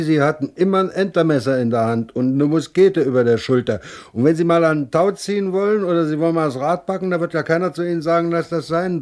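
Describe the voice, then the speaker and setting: An actor playing a hapless, self-pitying pirate lackey in a radio play, plaintive, stammering and servile. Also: male voice, a little high, darkish tone, close to an everyday voice. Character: whining, hapless pirate lackey, sorry for himself.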